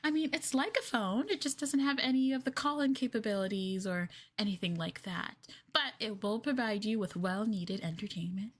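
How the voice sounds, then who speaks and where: A woman's voice: on the high side, lightly acted. A woman playing an obsessive, possessive girlfriend in an ASMR roleplay, sweetly menacing and coaxing the listener in a cooing manner. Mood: sweetly controlling. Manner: cooing, coaxing, breaking into giggles and whispers.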